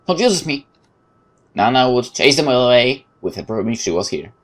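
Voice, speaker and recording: Low-pitched Polish-accented man reading aloud. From Poland. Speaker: let's play host. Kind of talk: reading visual novel text aloud, with casual asides.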